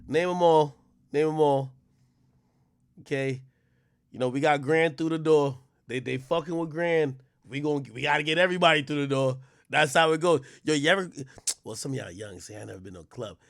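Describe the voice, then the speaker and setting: A man's voice: a little high, brisk, vocal fry, fairly bright tone, no room sound, studio quality. A man live-streaming, chatting loosely and profanely straight to his viewers. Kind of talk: casual live-stream chat.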